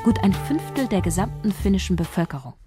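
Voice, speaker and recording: An actress playing a shy young woman scriptwriter, narrating her own story in a quiet, earnest first-person voice. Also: mid-range voice, expressive, a little fast, lightly acted. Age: young adult. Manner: quiet, earnest inner monologue. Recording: studio radio play over music.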